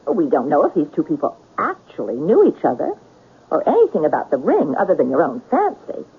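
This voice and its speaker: A very breathy, husky female voice with big swings of pitch, narrowed by old radio. a romantic but sensible woman in a mystery drama, gently prodding with questions. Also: wildly swooping pitch, fairly quick, heavily acted. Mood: coaxing, curious. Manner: coaxing, questioning. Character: romantic, practical, gently prodding female listener of writer's tale. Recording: narrow tinny radio broadcast.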